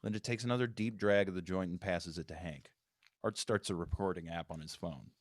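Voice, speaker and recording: A man's voice: deep voice, fairly even intonation, a little fast. A man giving scripted narration, reading out the stage directions at a table read.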